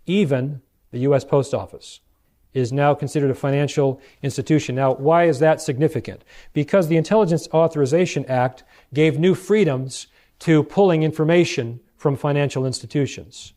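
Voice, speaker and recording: A man with an American accent preaching in a lecturing style, reading out quotations and putting rhetorical questions to his listeners with deliberate emphasis. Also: on the high side, dark tone. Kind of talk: sermon lecture with read-out quotations.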